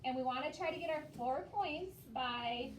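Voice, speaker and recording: A woman's voice: high voice, normal speed. A woman teaching elementary children in a classroom, giving directive, step-by-step instructions. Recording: echoey room, distant mic, faint noise.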